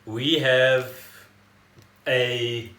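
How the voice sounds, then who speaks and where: A man's voice: mid-range voice, fairly even intonation, drawn-out words, bright, forceful tone. A South African man co-hosting a casual podcast, explaining things in a wry, conversational way. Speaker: podcast co-host. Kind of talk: casual chat explaining news. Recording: roomy, echoey home recording.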